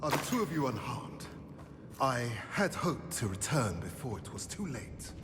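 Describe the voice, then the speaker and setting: A man voicing a grave, protective centaur in a video game, with formal, measured phrasing. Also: mid-range voice, very animated, background noise, close to an everyday voice. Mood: concerned. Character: grave, noble male centaur, protective and solemn. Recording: long reverberant hall, music behind.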